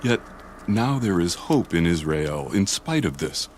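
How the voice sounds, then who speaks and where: Very deep, solemn Israelite spokesman. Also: sing-song, a little slow, a little hiss or hum. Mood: earnest urging. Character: earnest male Israelite spokesman urging a covenant. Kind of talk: dramatised scripture reading. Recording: music behind the voice.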